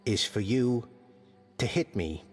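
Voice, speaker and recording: An actor playing a gruff, joking male skeleton commander who trains fighters and mixes lofty old words with slang, delivered deadpan. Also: medium pitch, slow pace, long reverb tail, faint music behind. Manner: deadpan jokes mixing archaic and slang words. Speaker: combat trainer.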